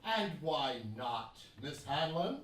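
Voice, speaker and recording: An actor playing an irascible, cynical 19th-century American newspaper editorialist in a theatrical, sardonic stage radio play. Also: male voice, fairly high pitch, sing-song, takes it slowly, fairly bright tone, heavily acted. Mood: dry, cynical. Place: playhouse stage. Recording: echoey hall, distant mics, faint noise.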